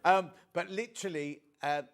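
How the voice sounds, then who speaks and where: Brief: male shopping-channel host, slightly high voice, chatty and self-deprecating, casual studio patter. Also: fairly even intonation, normal speed, clean tone. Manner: chatty, self-mocking, jumps between topics. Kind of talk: casual live shopping chat.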